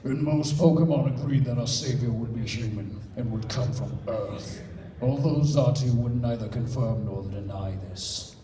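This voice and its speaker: Guy reading as smug boastful mew creature, mellow voice, echoey hall. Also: mid-range voice, normal speed, distant mic, close to an everyday voice.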